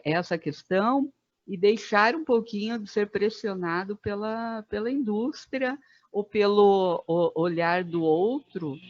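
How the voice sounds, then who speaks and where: A woman in her fifties talks in an unhurried, reflective way, pausing often and filling the gaps with small hesitations. The sound is dry and close, with a slightly muffled, dull tone. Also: medium pitch, no echo. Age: 50s. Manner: thoughtful, many fillers and pauses. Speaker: guest, Biodanza facilitator. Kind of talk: reflective podcast conversation.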